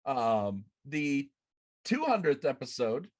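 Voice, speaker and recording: A man's voice: mid-range voice, very animated, takes it slowly. A man in his thirties hosting a podcast, chatting casually on a live show from a room at home. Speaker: podcast host. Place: small room at home, indoors.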